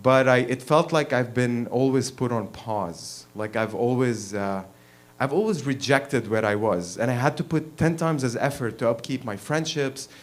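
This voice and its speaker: A man in his thirties, from Lebanon, tells a frank personal story on a small stage. He talks bluntly and swears freely, laughing and pushing his voice up when he gets fired up. His voice is of middle pitch, heard through a handheld mic. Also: moderate pace. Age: 30s. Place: small performance venue, in front of an audience.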